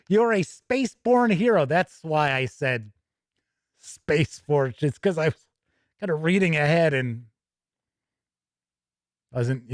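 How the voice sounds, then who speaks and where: A man's voice: a little high, no room sound, studio quality. A man hosting a tabletop gaming news show, chatting casually between read-out product copy, with self-correcting, rambling asides.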